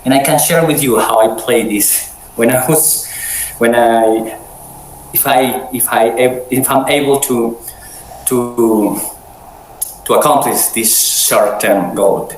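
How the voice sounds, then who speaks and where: Man with a cold, halting casual host, slow delivery, roomy sound. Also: mid-range voice, slight background noise. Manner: hesitant, many restarts. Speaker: meeting host. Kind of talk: casual online chat. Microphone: video call.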